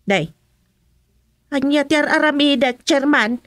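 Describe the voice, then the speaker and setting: A woman's voice: high voice, unhurried, a bit bright, no echo, clean studio sound. A woman with an Ilocano accent playing a village councillor in a comedy radio drama, pleading and defensive.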